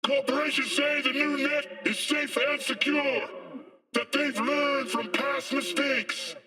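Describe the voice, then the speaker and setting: An actor playing a fiery dystopian street preacher in a video game, ranting in an impassioned, declamatory way. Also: male voice, very high voice, fairly even intonation, takes it slowly, bright, forceful tone, far from the mic, full character voice. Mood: ominous. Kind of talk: dramatic video game monologue.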